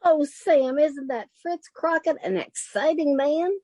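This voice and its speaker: A woman's voice: sits high, expressive, unhurried, warm tone, no echo, lo-fi sound. A woman playing an adoring, girlish detective's secretary in old-time radio comedy, with a sweet, swooning way of talking.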